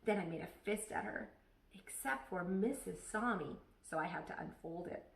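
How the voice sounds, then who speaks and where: A woman's voice: fairly low pitch, expressive, normal speed, gravelly, recorded from a distance. A woman narrating a children's story expressively, like a teacher reading aloud to pupils. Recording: echoey room.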